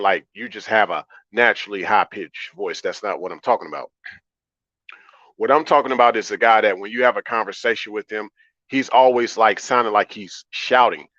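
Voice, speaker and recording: A man with a low baritone hosts a livestream in a calm, relaxed way. He talks conversationally to his chat and repeats phrases to drive them home. The sound is slightly muffled and lo-fi. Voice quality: deep baritone. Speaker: livestream host. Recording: roomy, slightly dull lo-fi audio.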